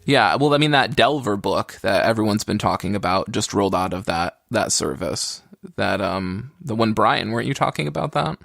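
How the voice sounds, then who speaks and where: A man's voice: lowish voice, animated, moderate pace, gravelly, fairly bright tone, no room sound, clean studio sound. A man co-hosting a podcast in casual, joking chat with a wry streak of exaggeration. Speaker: podcast co-host.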